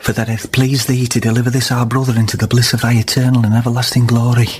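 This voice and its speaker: An actor playing a sly, pious false friend in a period radio drama, with measured, formal, sanctimonious speech. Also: male voice, mid-range voice, level tone, darkish tone, lightly acted. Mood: solemn prayer. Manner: measured, sanctimonious, formal old-fashioned phrasing.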